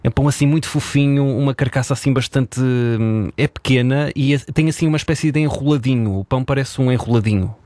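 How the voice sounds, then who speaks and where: A man's voice: mid-range voice, quick pace, few pauses, a little mellow, no echo. A man from northern Portugal with a European Portuguese accent, hosting a casual conversation. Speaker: show host.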